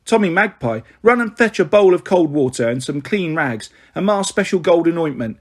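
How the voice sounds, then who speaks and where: A bustling, affectionate working-class mother from a children's storybook, talking fast and fondly. Her voice sits high and a little husky. A man reads her lines aloud. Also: expressive. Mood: fussing. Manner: fussing, affectionate, scolding. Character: kindly chatty working-class mother, fussing.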